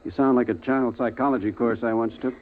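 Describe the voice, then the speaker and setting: An actor playing a practical, dismissive suburban husband in a 1950s radio drama, brisk and expressive. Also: male voice, mid-range voice, slight background noise, close to an everyday voice. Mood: wry, impatient. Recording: tinny vintage radio recording with eerie music.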